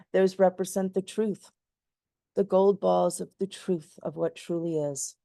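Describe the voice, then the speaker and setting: A woman leading a guided meditation in a soothing, gentle voice with lulling phrases. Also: on the low side, steady tone, takes it slowly, vocal fry, no room sound, studio quality.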